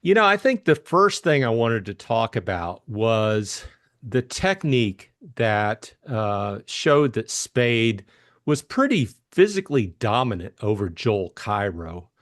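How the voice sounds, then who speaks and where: A man's voice: fairly low pitch, big pitch swings, takes it slowly, soft background music. A man narrating a classic detective novel and discussing it as co-host. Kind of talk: scripted story narration and book discussion.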